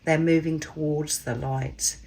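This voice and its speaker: A woman with a croaky, hoarse voice from a cold, gently reading tarot cards and addressing listeners warmly as souls. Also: low voice, steady tone, measured pace, rough recording. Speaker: tarot card reader. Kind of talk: spiritual card reading to camera.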